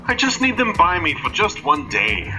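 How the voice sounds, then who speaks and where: A man's voice: high voice, wildly swooping pitch, moderate pace, bright, forceful tone, soft background music, exaggerated voice, poor audio. A man playing a bossy alien captain who poses as a pop band frontman in an audio drama. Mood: commanding. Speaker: actor in amateur audio drama. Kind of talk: theatrical audio drama acting.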